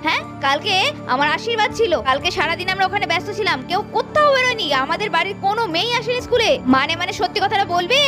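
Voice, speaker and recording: A woman narrating a cartoon story and voicing several characters, with sharp scolding lines, over background music. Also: very high-pitched, lively intonation, racing. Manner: scolding, shifts between narration and character voices. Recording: music underneath.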